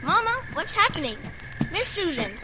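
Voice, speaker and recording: A woman's voice: very high-pitched, big pitch swings, moderate pace, noisy background. A woman voicing a sweet, earnest little boy with a childlike voice in an audio horror drama. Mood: frightened. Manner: simple, earnest child's talk.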